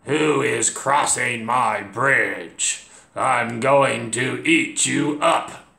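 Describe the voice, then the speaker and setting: A scary, stinky storybook troll, acted out by a man. The delivery is loud and drawn out, full of menace, in a roomy space. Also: vocal fry, exaggerated voice. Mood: menacing. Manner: slow, drawn-out, booming delivery.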